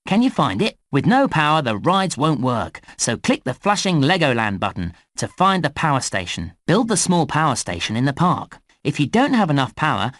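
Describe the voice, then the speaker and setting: A man playing a friendly theme park guide in a children's game, giving tutorial instructions in an enthusiastic, encouraging and clearly instructive way. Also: on the high side, a bit bright, no echo, studio quality, full character voice. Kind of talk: scripted video game tutorial narration.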